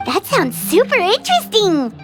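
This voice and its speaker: A woman voicing a small, bubbly, curious fairy companion in a video game, with a squeaky childlike voice. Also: huge pitch swings, normal speed, exaggerated voice. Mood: curious, eager. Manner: refers to self in third person. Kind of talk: video game character acting. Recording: loud music behind.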